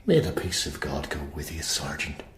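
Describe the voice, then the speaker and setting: An actor playing a pious, defiant prisoner in a radio crime drama, measured and preachy with a taunting edge. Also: male voice, rumbling low, sing-song. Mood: quietly menacing. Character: pious, defiant male IRA prisoner, quietly menacing. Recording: roomy, echoing interior.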